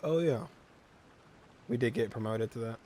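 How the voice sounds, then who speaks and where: A man's voice: mid-range voice, moderate pace, dark tone, a little hiss or hum. A young man casually commenting while playing a video game, chatty with joking asides. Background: faint game music.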